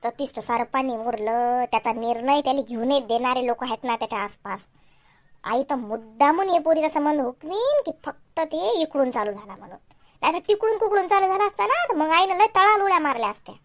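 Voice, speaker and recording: Woman doing a nagging cartoon village wife, really high voice, taunting and complaining, vidarbha marathi accent. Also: expressive, fairly quick. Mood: suspicious. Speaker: comic cartoon character. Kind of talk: comedy cartoon dialogue.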